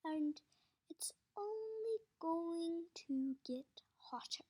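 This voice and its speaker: A woman voicing a cold, authoritative female camp warden in a storybook, soft and deliberate, with icy politeness. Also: very high-pitched, expressive, pitched above the performer's own voice, full character voice. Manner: slow, deliberate, icily polite.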